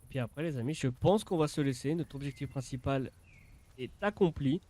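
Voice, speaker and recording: A man's voice: mid-range voice, a little fast, mellow. A man giving casual, informal gameplay commentary as a video creator, often repeating and restarting his phrases.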